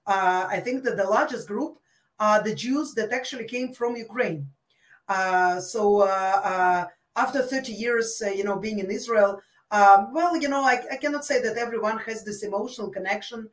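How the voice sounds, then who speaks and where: A woman's voice: medium pitch, normal speed. A woman from Russia answering interview questions in a thoughtful, hesitant way, with many fillers. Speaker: interview guest, expert. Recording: roomy, distant from mic.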